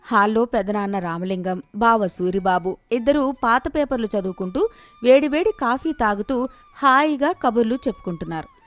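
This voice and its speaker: A woman storyteller giving an expressive scripted narration of a moral tale. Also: on the high side, normal speed.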